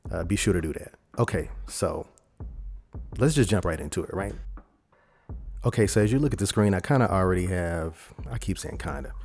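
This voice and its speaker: A man presenting a software tutorial in a casual, rambling, conversational way, talking informally through each step. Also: low-pitched, expressive, moderate pace, creaky, soft background music. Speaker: video editing tutorial host.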